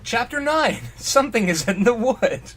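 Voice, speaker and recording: A man's voice: very high voice, lively intonation, moderate pace, fairly bright tone. A man reading fan fiction aloud in a mock-dramatic style, breaking into jokey, profane casual commentary.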